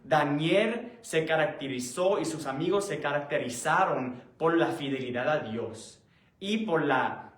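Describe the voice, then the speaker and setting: Male Bible teacher, slightly high voice, halting explanatory delivery, roomy echoing recording. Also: a bit bright. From born in Puerto Rico, raised in the United States. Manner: explanatory, halting, rephrases often. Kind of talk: conversational sermon, Bible study teaching.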